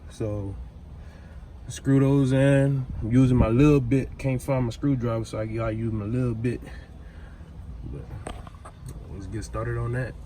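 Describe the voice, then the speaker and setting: A man's voice: mid-range voice, steady tone, unhurried, pauses often, dark tone. An American man with an informal, slangy way of talking, chatting casually as he demonstrates a hands-on job for a vlog. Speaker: vlogger demonstrating a DIY car install. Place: in or around a vehicle. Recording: clear background noise, vehicle ambience.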